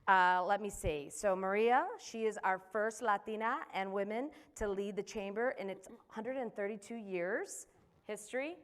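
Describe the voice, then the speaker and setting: A woman's voice: mid-range voice, normal speed, smooth. A woman moderating a panel, introducing the guests with enthusiastic praise.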